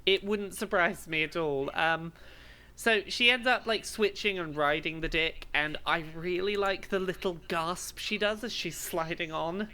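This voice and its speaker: A woman's voice: fairly low pitch, measured pace, pushed and bright. A woman co-hosting a podcast, chatting casually in an emphatic, amused way as she gives commentary. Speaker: podcast co-host.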